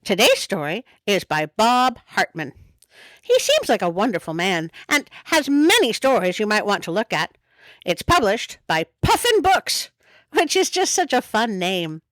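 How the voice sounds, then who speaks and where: Expressive woman storyteller, lively pitch. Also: measured pace, dry recording. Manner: playful, expressive, self-interrupting. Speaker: storytime host and reader. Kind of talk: picture-book storytelling with chatty asides.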